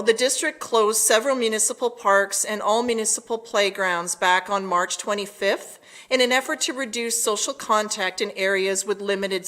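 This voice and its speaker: A woman delivering a prepared public address as town mayor, measured and formal. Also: mid-range voice, sharp tone.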